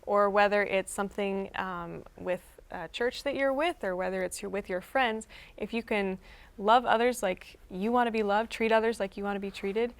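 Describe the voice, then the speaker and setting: A woman speaks as a guest in an interview, friendly and practical, with frequent ums. Her voice has a noticeable gravelly fry, and her pitch is mid-range. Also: moderate pace. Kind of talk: casual interview talk.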